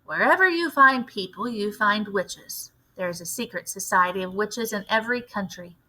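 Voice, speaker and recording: A woman voicing a firm, grimly knowing elderly grandmother in a storybook, with measured, grave delivery. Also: mid-range voice, animated, sharp tone, low-quality recording. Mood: emphatic. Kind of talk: storybook read-aloud character voice.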